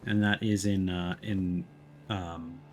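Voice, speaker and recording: A man's voice: low voice, flat delivery, slow pace, a little hiss or hum. A man giving casual live gameplay commentary as a streamer, with wry, playful asides as he narrates what he is doing. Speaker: video game streamer. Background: music behind the voice.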